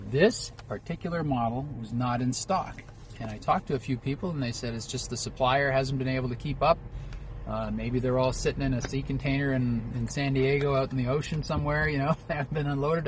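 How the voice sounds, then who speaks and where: A man with a medium-pitched voice chats casually to viewers. He rambles in a folksy way and explains things step by step. Vehicle noise sits faintly but clearly behind his voice. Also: fairly even intonation, a little fast. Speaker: trucker vlogger. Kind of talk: casual unboxing vlog chat.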